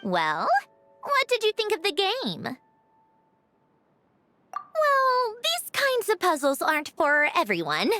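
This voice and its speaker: Young female inventor for a video game: brainy, nonchalant, quietly wistful, with lively pitch movement over background music. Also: takes it slowly, long pauses, heavily acted. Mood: dryly casual. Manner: wry, matter-of-fact, reflective. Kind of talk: video game character dialogue.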